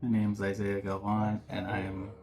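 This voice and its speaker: A man's voice: on the low side, completely flat delivery, moderate pace, darkish tone, faint music behind. A man in his thirties speaking hesitantly with many fillers in a documentary interview. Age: 30s.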